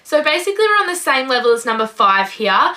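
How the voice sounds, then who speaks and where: Bright, upbeat young Australian woman. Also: high voice, sing-song, fast. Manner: upbeat, gushing, says "incredible" and "you know" often. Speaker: vlogger. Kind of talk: casual talk to camera.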